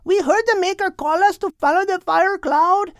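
Man as a squeaky-high tiny female hummingbird. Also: normal speed, fairly bright tone, no echo, studio quality. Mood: earnest, insistent.

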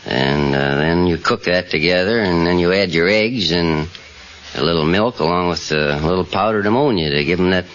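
A man with a deep voice and a hard, bright edge answers as a quiz contestant. He is laconic and plainspoken. The sound is a dulled old radio recording. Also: moderate pace. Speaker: quiz show contestant, a baker. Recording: muffled old radio recording, faint noise.

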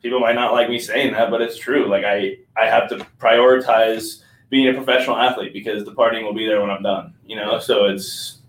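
A man's voice: lowish voice, steady tone, fairly quick. Earnest young man in an echoey room. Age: 20s. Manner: earnest, reflective, many "like"s. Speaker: guest athlete. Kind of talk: candid podcast chat.

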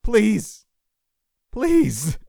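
A man's voice: mid-range voice, very animated, measured pace, no echo, clean studio sound, pitched above the performer's own voice. A man voicing a tender, earnest father who is desperately attached to his young son, in an audiobook story. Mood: desperate, begging. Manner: emotional, earnest.

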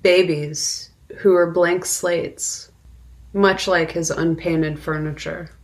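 A woman co-hosting a podcast, talking casually about films and pausing deliberately mid-sentence. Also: on the low side, fairly even intonation. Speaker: podcast co-host. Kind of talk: casual film discussion.

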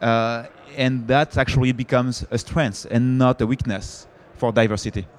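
French-accented male panelist, halting delivery with frequent restarts. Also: mid-range voice, fairly even intonation, slight background noise. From France. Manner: hesitant, many fillers and restarts. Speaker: panelist, company founder. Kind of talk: conference panel discussion.

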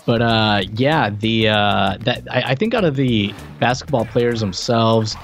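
A man co-hosting a casual game review podcast, explaining trivia in a halting, conversational way. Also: fairly low pitch, slight background noise. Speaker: podcast co-host.

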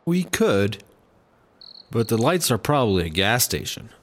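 A man's voice: lowish voice, very animated, unhurried, slight background noise. A man acting an uptight, practical, anxious straight man in a sci-fi comedy audio drama. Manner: wary, exasperated straight man. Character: uptight, anxious, practical male partner prone to paranoia.

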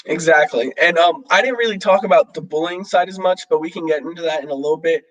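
A man's voice: on the high side, fast, fairly bright tone, poor audio. A young man in casual conversation, rambling with many fillers and restarts. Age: young adult. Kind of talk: casual chat.

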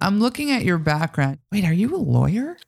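Low-pitched woman hosting a podcast. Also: very animated, brisk, vocal fry, a little mellow. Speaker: podcast host. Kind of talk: casual conversational interview.

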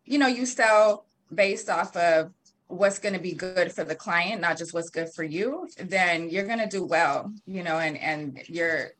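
Woman in her 30s, kinda low voice, chatty, lots of um and like. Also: a little slow, a bit bright. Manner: chatty, laughs often, many fillers. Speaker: guest, marketing and PR company founder. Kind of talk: casual interview conversation.

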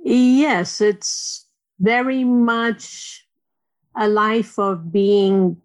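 A woman in her sixties from Lebanon, a guest telling her personal story in a measured, thoughtful way. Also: medium pitch. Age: 60s. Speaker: interview guest.

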